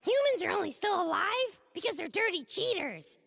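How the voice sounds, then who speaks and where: A woman playing a small, cocky, aggressive alien foot soldier in a video game, squeaky and gravelly, taunting and boastful, with long reverb. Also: very animated, normal speed, full character voice. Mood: boastful, grumbling. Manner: taunting, boastful, hot-headed, shouty. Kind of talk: video game combat barks.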